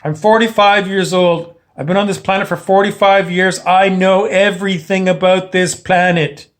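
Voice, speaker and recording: A man's voice: sits high, little pitch movement, moderate pace, fairly bright tone. A man acting out a tired, dismissive middle-aged worker with a curt know-it-all manner, in an informal monologue.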